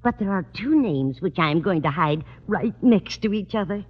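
A woman's voice: mid-range voice, expressive, normal speed, slightly rough. An actress playing a kindly, chatty, scatterbrained older landlady in a 1950s radio comedy, fussy and cheerful. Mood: chirpy. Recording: dull, faintly noisy old radio recording.

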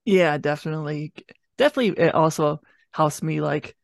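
Man, fairly high voice with plenty of creak, informal and blunt conversational podcast delivery. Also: brisk, no echo, clean studio sound. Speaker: podcast co-host reviewing an audio drama. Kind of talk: casual chat.

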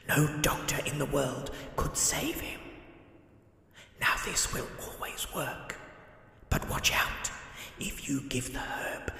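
Whispering, sinister old Death. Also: male voice, medium pitch, takes it slowly, sharp tone, reverberant, in a large hall, heavily acted. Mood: instructing, ominous. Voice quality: breathy, hissing whisper.

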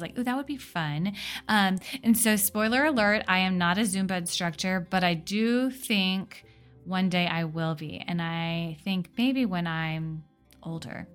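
A woman podcast host chatting and interviewing in a casual, animated storytelling manner. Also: fairly low pitch.

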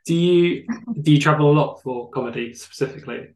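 A man's voice: on the high side, fairly quick. A man hosting a podcast interview, opening with dry, self-mocking jokes before asking questions. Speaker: podcast host. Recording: echoey room.

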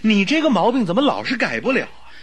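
An anxious, high-voiced loyal friend. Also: male voice, wildly swooping pitch, quick pace, slightly rough, full character voice. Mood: exasperated. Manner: earnest, exclamatory, fretful. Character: anxious, loyal male friend of a sick detective. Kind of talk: radio drama acting.

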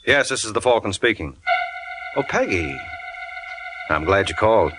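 Breezy radio private eye. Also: male voice, fairly low pitch, very animated, moderate pace, poor audio. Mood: breezy, wry. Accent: American. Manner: suave, quipping. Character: breezy, wisecracking male private detective on the phone. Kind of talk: 1940s radio detective drama acting. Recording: vintage radio recording with music behind.

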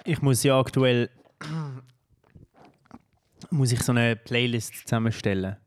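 A man's voice: medium pitch, slow. A young man with a Swiss German accent, co-hosting a podcast in casual, slangy chat. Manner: slangy and animated, keeps saying "bro". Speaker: podcast co-host.

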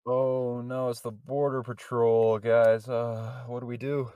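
A man co-hosting a podcast, acting out an improvised comedy skit in an excitable, jokey way. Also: medium pitch, slow pace, smooth, dry recording, studio quality. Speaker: podcast co-host.

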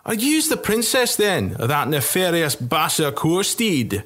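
A man voicing an excitable drunk male soldier, loud and slurring. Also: on the high side, unhurried, soft background music, voice pushed up, exaggerated voice. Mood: excited drunk. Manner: shouting, squealing, slurred.

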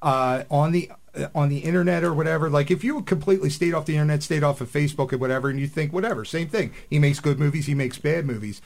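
A man's voice: a little high, fast, vocal fry. A man co-hosting a casual panel chat on live radio. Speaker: podcast co-host. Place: radio studio.

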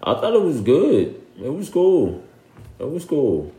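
A man speaking as a guest on a casual podcast, with a flat, low-key delivery he himself calls monotone. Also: a little slow. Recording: echoey room with faint noise.

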